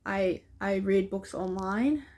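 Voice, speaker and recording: A woman's voice: mid-range voice, takes it slowly, darkish tone. A woman reading a children's storybook aloud as an amateur reader.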